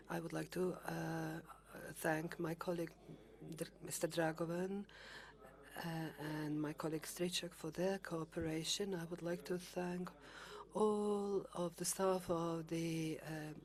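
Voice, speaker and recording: A woman chairing a conference who gives formal closing thanks in a measured way, pausing and restarting now and then. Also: lowish voice, darkish tone. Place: large conference hall.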